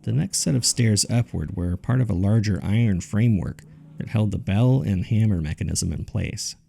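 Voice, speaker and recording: A low-voiced man with a heavy gravelly creak tells his horror story as a haunted survivor. He speaks gravely and deliberately, in a dark, subdued tone, like a scripted audio drama narrator. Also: heavily acted. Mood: shaken, haunted. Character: haunted man recounting a terrifying ordeal.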